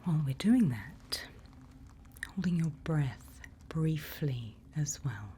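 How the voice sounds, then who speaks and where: A woman speaks in a soft, breathy ASMR whisper with a dark, mellow tone. She guides the listener slowly and reassuringly as a calm forest night-watch leader. A faint ambience sits behind her close-miked voice. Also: low-pitched. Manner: unhurried, instructive, reassuring. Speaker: ASMR roleplay performer. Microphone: close microphone. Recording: faint background ambient noise.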